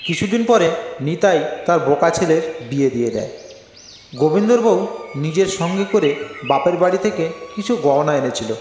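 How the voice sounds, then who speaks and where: A man's voice: a little high, lively intonation, moderate pace, darkish tone, a little hiss or hum. A man narrating a scripted moral folk tale as a storyteller. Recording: very echoey reverberant voice track. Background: faint music.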